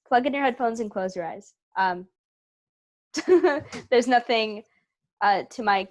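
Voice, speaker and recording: Female theatre guest, somewhat higher pitch, conversational interview answers. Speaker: actor and theatre company member, interview guest.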